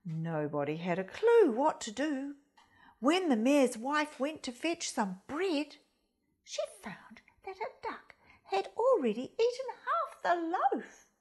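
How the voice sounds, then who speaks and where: A woman reads a picture book to young children. Her voice is fairly high and slightly husky, swooping expressively as she goes at a slow, deliberate pace. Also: big pitch swings, a little mellow. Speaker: storytime reader at a primary school. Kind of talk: animated children's story reading.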